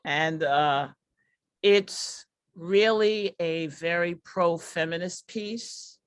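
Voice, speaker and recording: A middle-aged woman, a comedy performer, telling personal stories in a candid, conversational way with deliberate pauses. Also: on the low side, clean tone, no echo, studio quality.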